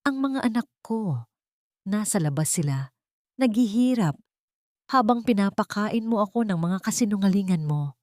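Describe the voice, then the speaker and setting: A woman's voice: medium pitch, expressive, moderate pace, pauses often, darkish tone, no echo, clean studio sound, pitched above the performer's own voice, natural voice. A woman voicing a furious, bitter rich son who feels betrayed, in an audio story drama. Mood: bitter anger.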